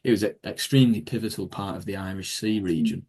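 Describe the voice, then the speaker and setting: A man answers questions after a history talk, speaking on the low side of the male range. He rambles a little, restarting sentences and filling pauses with ums. The sound comes through a video call. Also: darkish tone, husky. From England. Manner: rambling, self-correcting, with frequent ums and restarts. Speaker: guest speaker on Viking history.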